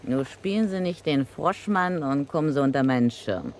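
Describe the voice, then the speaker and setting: Brazen, slangy gangster's widow. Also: female voice, low voice, expressive, moderate pace, a little mellow, slight background noise. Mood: brazen, threatening. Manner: slangy, mocking, self-assured. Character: brazen, street-wise gangster's widow, a sly blackmailer. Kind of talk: German crime radio play acting.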